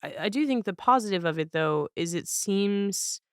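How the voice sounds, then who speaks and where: A woman hosts a casual interview in a clean, smooth voice of medium pitch. She is informal and agreeable, reflecting on ideas as she talks, and the recording is close and dry. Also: lively intonation, moderate pace, no room sound. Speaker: podcast host, interviewer.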